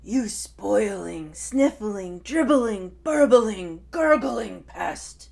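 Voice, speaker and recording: A woman voicing a blustering, bossy male Tyrannosaurus big brother in a storybook, with loud, growling roars. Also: fairly high pitch, slow, creaky, heavily acted.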